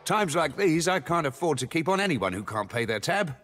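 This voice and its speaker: A bluff tavern keeper. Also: male voice, fairly high pitch, very animated, brisk, fairly bright tone. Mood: friendly. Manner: bluff, chatty and hospitable. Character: proud middle-aged male tavern keeper, former sailor. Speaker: innkeeper. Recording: game soundtrack under the voice. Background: music.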